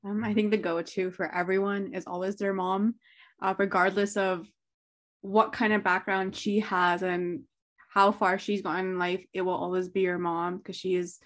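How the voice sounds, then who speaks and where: A woman's voice: mid-range voice, level tone, normal speed. A woman guest in a podcast roundtable, answering in a thoughtful, earnest way.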